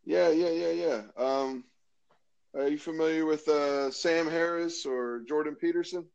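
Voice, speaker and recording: An American man from Maryland, a guest in a casual interview, talking in a rambling, halting way. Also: a little high, steady tone, creaky, darkish tone, clean tone, muffled recording. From Maryland, USA. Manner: rambling, halting, lots of fillers and restarts.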